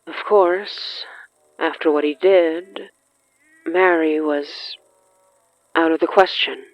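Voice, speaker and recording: Slow measured horror podcast narrator, dramatic pauses, kinda muffled radio sound. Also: mid-range voice, fairly even intonation, dry recording. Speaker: narrator of an audio fiction podcast. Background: faint music.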